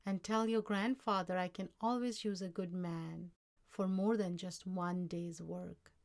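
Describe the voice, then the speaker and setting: A woman voicing a storybook boss, a man who is emphatic, easily shocked and quick-tempered but fair. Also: on the low side, steady tone, darkish tone. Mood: calmer, fair. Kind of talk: storybook character dialogue.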